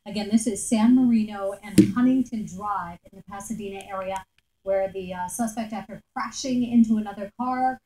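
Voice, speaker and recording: Female TV anchor for live breaking coverage, average pitch, slight noise behind the voice. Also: darkish tone, poor audio. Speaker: TV news anchor.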